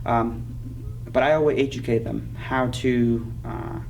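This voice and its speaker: A middle-aged man with a Vietnamese accent, speaking earnestly in an oral history interview, halting, with many fillers. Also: mid-range voice, fairly even intonation, a little mellow. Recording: distant mic with clear background noise.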